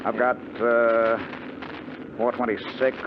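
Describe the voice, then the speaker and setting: An actor as a controlled gang boss, noisy old radio. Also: male voice, mid-range voice, little pitch movement, close to an everyday voice. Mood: brisk, commanding. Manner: controlled, deliberate, commanding. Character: calm, menacing male gang leader plotting a ship hijacking. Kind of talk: 1950s radio drama acting and narration. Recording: noisy, muffled 1950 radio recording.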